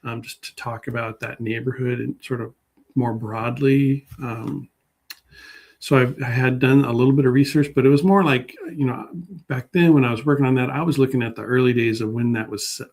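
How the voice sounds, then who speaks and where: A man speaks as a guest in a casual interview. His voice is of average pitch. He is unassuming and halting, working out his thoughts as he goes. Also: steady tone, darkish tone. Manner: halting and unassuming, thinking aloud. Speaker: guest public historian.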